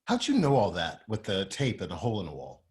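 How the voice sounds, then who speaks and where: Actor as nerdy scientist, boastful, eager, low pitch, animated, script read. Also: male voice, fast. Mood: smug, curious. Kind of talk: table read of a screenplay.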